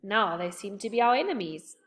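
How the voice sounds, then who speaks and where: A woman's voice: medium pitch, expressive, fairly quick, a bit bright, close to an everyday voice. A woman reading aloud and voicing a big, kindly father bear with a growly voice in a storybook. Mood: mournful.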